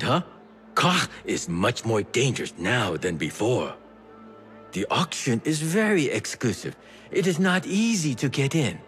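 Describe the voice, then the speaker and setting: Game role: wily old tea trader, bright, swooping delivery, theatrical and teasing. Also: male voice, mid-range voice. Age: older. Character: lively old Chinese tea merchant, wily and affectionate. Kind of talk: video game character acting.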